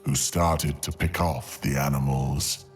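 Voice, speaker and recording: A man narrating a scripted fantasy tale in an ASMR style, with dramatic, deliberate phrasing and long pauses. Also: a bass voice, fairly even intonation, faint music behind. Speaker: audio storyteller, narrator.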